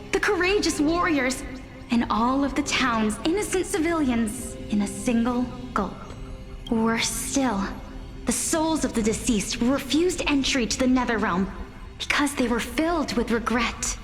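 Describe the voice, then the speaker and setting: A woman's voice: a little high, animated, unhurried. An actress playing a young woman scholar in a game, telling a tale and teasing her friend with giggles. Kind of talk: game voice acting. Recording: game dialogue over music.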